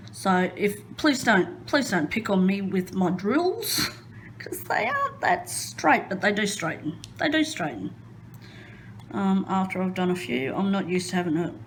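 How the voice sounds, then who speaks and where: A nervous Australian woman talks slowly and unhurriedly, filling her pauses with ums and soft self-conscious laughter. She chats casually about herself as she works at a craft table indoors, recorded on a phone. A faint background hiss sits under her voice. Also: mid-range voice. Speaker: crafting vlogger. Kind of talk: nervous casual chat while diamond painting.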